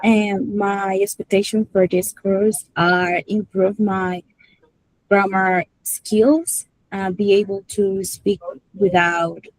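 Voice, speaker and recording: A young woman from El Salvador introduces herself to her English class. She speaks slowly and carefully. Her voice sounds dry and close. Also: mid-range voice, level tone, dry recording, poor audio. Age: 20s. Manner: careful, searching for words. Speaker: student.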